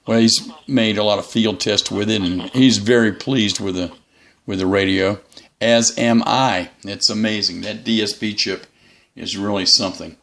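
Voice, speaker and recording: A man giving a casual, unscripted hobby review as the channel's host, in a halting, rambling way. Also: on the low side, steady tone, a bit bright.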